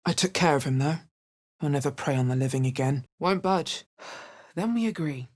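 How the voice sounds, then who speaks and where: A woman playing a wry, resolute, watchful adventurer heroine in a video game, with a deep, husky voice and a measured, understated delivery. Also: darkish tone. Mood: composed, watchful. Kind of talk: video game character voice lines.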